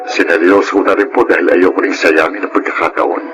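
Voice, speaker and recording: A man playing a cautious, dutiful young adult son, measured and sincere in a Filipino radio drama. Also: low voice, fairly even intonation, over music, natural voice. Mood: sincere, reassuring.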